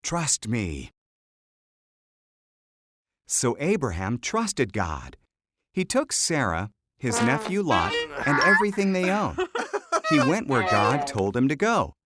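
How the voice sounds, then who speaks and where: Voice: mid-range voice, very animated, measured pace, a bit bright. A narrator reading a scripted children's Bible story.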